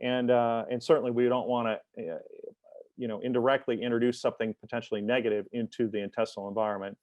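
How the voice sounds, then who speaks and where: Halting man giving a dry-sounding talk. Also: medium pitch, steady tone, no echo, lo-fi sound. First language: English. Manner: halting, many fillers and restarts. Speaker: expert speaker in a webinar. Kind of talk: technical presentation.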